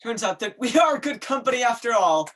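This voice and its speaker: Company loyalist for a stage comedy, young male actor: high voice, formal, self-important, declamatory. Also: lively intonation, a little fast, fairly bright tone, heavily acted. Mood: grandiose. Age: young adult. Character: grandiose company man confessing with stiff loyalty. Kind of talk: student stage acting.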